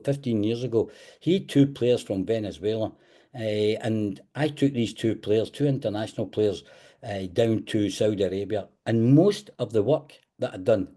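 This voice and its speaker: A man's voice: lowish voice, dark tone. A middle-aged man with a Scottish accent, teaching an online webinar with emphatic, direct advice. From Scotland. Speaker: webinar host and football agent coach. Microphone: video call.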